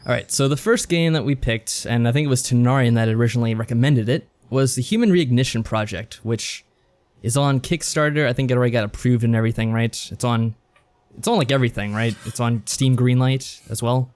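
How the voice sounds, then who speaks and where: Man co-hosting a podcast, medium-pitched voice. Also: brisk, a little mellow. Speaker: podcast co-host. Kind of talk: casual review and plot summary.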